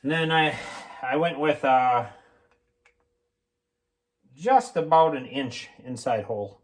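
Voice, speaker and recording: Man giving a halting, casual how-to. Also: mid-range voice. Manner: halting, informal, thinking aloud. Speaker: hobbyist mechanic presenting a homemade tool demonstration. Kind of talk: casual unscripted how-to explanation.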